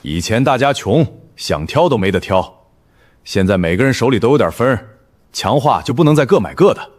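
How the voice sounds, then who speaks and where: A man playing a blunt, hearty, chatty team veteran in an animated web drama, using slangy speech. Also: medium pitch, a little fast, lightly acted. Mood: blunt, advising. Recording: background music under dialogue.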